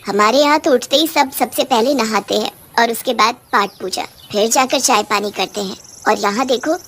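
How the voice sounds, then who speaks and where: Woman plays cartoon witch ghost: female, high-pitched, fussy, indignant, quick to complain. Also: fairly bright tone, slight background noise. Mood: scolding. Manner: scolding, complaining.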